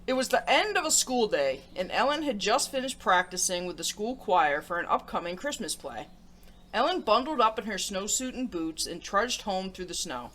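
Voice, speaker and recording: A woman hosting a children's story show, reading aloud in an animated, expressive way. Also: mid-range voice, moderate pace.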